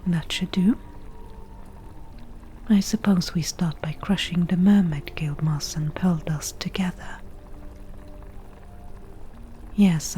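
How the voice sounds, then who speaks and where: A forest witch from an ASMR roleplay, acted by a woman, whispers in a soft, mellow, slightly low voice. She is chatty and a little wicked, musing at a very unhurried pace in a conversational way. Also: slight background noise. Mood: absorbed, musing. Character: eclectic forest witch, chatty, unruly, mildly wicked potion brewer.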